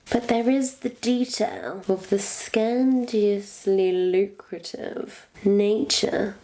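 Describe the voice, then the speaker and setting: A woman's voice: mid-range voice, a little mellow, rough recording. A woman playing a wicked, theatrical witch in a suspenseful roleplay, pompous and florid, savoring each phrase as she toys with a captive. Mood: mock melancholy. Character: wicked, theatrical female witch, smugly toying with her captive. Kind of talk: suspenseful point-of-view roleplay monologue.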